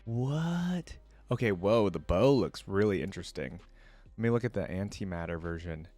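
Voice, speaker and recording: A man's voice: sits low, lively intonation, moderate pace, darkish tone, no echo, faint music behind. A man giving casual reaction commentary, thinking aloud in informal, slangy language. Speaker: reaction video creator.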